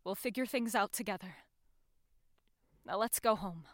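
An actress playing an earnest, loving but firm young adult daughter in an audio drama. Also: female voice, medium pitch, moderate pace, a bit bright, dry recording, studio quality, close to an everyday voice. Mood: firm, caring.

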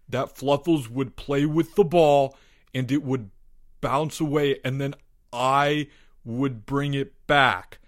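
A man's voice: medium pitch, voice pushed up, natural voice. A man voicing a frightened, guilt-ridden schoolboy in a story, stammering and pleading his innocence. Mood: choked, confessing.

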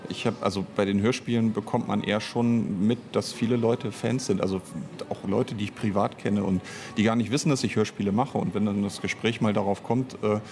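Male interview guest, lowish pitch, thoughtful explainer with hesitant phrasing, slight background noise. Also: fairly even intonation, fairly quick, a little mellow. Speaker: interview guest, audio drama writer and producer. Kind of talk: casual conversational interview.

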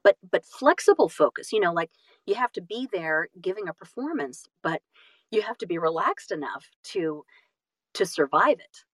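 A woman's voice: on the low side, lively intonation, moderate pace, husky, no room sound, low-quality recording. A woman telling stories casually as an interview guest, self-deprecating and emphatic in manner. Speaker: guest, audiobook narrator and actress.

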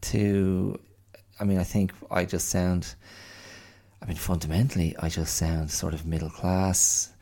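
A man with a middle-class Dublin accent talks through his thoughts in a wry, musing monologue. His voice sits very low with a gravelly fry, dark and mellow in tone. He takes his time, unhurried and reflective. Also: flat delivery. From Ireland. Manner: musing, wry, thinks aloud. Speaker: podcast host.

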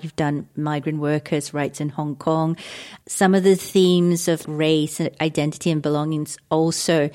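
A woman hosting, reading a measured narration and then asking questions with some hesitation. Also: low-pitched, darkish tone. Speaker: podcast host and interviewer. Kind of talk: scripted narration and interview questions.

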